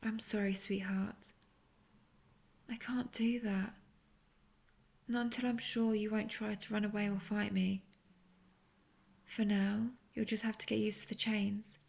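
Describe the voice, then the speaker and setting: A woman playing an obsessive, manipulative witch in an intimate roleplay audio drama, speaking in a breathy, coaxing, often half-whispered way. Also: mid-range voice, little pitch movement, measured pace, long pauses, darkish tone. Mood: sweetly menacing. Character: obsessive young female witch, lovestruck and manipulative.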